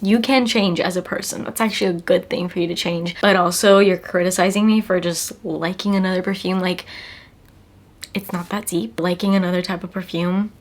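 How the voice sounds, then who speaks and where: A woman's voice: fairly low pitch, steady tone, fairly quick. A young woman in her twenties with a congested cold voice, chatting casually to camera as a perfume reviewer, emphatic and repeating words for stress. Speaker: perfume reviewer, channel host. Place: small home room, indoors.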